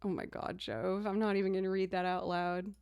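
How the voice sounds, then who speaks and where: A young woman streamer with a low alto voice chats casually between songs. She talks in a relaxed, self-deprecating way and stops often to thank viewers. The sound is close and dry, with no room echo. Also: a little fast, creaky, clean tone. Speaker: streamer and musician. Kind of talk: casual live-stream chat.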